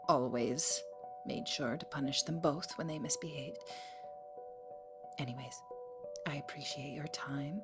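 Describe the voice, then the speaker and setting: An actress playing a cold, sinister, murderous mother in an audio drama. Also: extremely low pitch.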